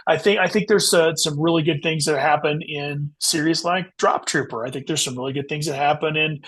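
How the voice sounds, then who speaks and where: A man speaking as an author guest in a casual podcast interview, haltingly thinking aloud as he talks. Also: a little high, a bit bright.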